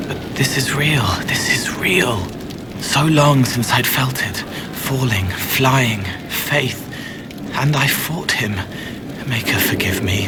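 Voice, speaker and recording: An actor playing a haunted, gentle young male spirit in a fantasy video game, speaking in halting, poetic fragments. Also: medium pitch, natural voice. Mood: haunted, fervent. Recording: game audio with noisy ambience behind.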